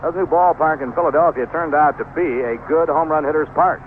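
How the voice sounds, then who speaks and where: A man's voice: fairly high pitch, brisk. Tinny old-radio American baseball announcer, man. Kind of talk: live radio baseball commentary. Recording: narrow, noisy 1970s AM radio broadcast.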